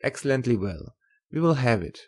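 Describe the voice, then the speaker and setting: A woman's voice: very deep voice, lively intonation, normal speed, a little mellow, slightly rough, voice pushed down, heavily acted. A woman voicing a tender, earnest older German professor in love, with formal, emphatic speech in an audiobook. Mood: pleased. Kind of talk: audiobook character voice.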